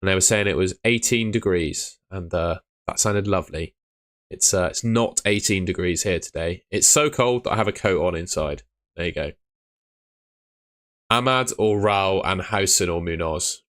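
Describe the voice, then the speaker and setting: Hoarse young man chatting on a live stream. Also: low voice, normal speed, a bit bright. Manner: blunt, chatty, calls viewers mate. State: losing his voice. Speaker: fantasy football streamer. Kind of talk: live stream chat answering viewer questions. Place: his cold room at home.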